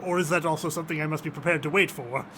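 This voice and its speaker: An actor playing an educated gentleman, a scientist's wary loyal friend, speaking formally and expressively in a radio drama. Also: on the high side, racing, background noise, lightly acted. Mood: wryly curious. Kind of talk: theatrical radio drama acting.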